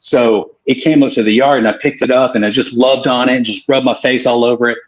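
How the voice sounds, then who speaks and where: A man's voice: mid-range voice, fairly even intonation, brisk. A man, rambling through a joking anecdote on a casual livestream. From Tennessee, US. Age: 40s. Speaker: co-host. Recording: dull lo-fi call audio.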